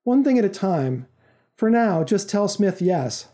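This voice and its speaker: Enigmatic woman avatar, read by a man: teasing, controlling, quietly menacing, very soft mellow tone. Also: high voice, sing-song, measured pace. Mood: assured, menacing. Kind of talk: audiobook-like story reading.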